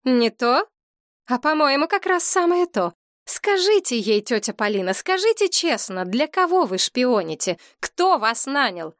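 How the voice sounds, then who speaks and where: A woman's voice: fairly high pitch, normal speed, no echo, studio quality, pitched above the performer's own voice, lightly acted. A woman voicing a fierce young woman who accuses relentlessly in a heated, cutting voice. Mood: accusing. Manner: heated, hissing through teeth when quiet.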